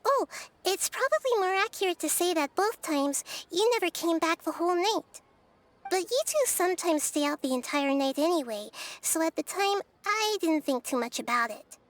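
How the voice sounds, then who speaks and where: A woman voicing a gentle, thoughtful little girl goddess in a video game, with a girlish voice and calm manner. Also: very high-pitched, moderate pace, no echo.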